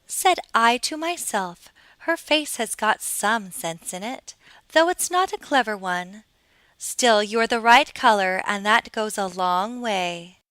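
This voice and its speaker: A woman's voice: mid-range voice, animated, slow, sharp tone, smooth, voice pushed up, rough recording. A woman voicing a prim, severe talking rose in a storybook, critical and condescending. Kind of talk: storybook character voice.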